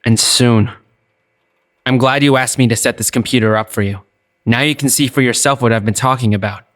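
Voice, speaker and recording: A man plays a bookish American teenage boy in an audio drama. He is earnest and a touch awkward, with a conversational, medium-pitched voice. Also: steady tone, a little fast, fairly bright tone, lightly acted.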